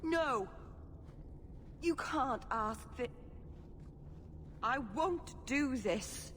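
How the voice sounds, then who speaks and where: Earnest high-voiced young elf, reverberant. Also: female voice, expressive, drawn-out words, fairly bright tone, heavily acted. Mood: anguished. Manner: earnest, emotionally open, pleading. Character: earnest, anguished young elf woman, a blood mage. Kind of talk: video game dramatic acting. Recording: long reverb, faint background noise.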